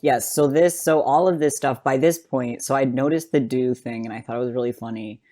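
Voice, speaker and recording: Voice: mid-range voice, brisk, warm tone. A podcast host in casual, enthusiastic conversation, loosely phrased and peppered with "like". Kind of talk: casual fan podcast chat.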